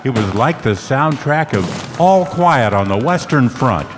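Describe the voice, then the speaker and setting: A man's voice: mid-range voice, very animated, a little slow. A man playing a tough hardboiled private detective, narrating his case in 1940s radio play style. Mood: dramatic, vivid. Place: on stage in a hall. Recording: noisy echoey hall, live stage performance.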